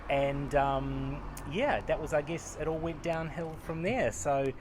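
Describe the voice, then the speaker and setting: A man in his forties with a New Zealand accent, talking casually about his work as a researcher and acupuncturist, relaxed and self-deprecating with many fillers, recorded outdoors on a veranda with a lapel mic. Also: on the high side, measured pace, background noise. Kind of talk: casual interview answers.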